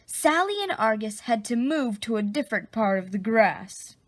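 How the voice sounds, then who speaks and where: A woman reading a children's picture book aloud, expressively, with drawn-out dramatic emphasis. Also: on the high side, big pitch swings, no echo. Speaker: storybook reader.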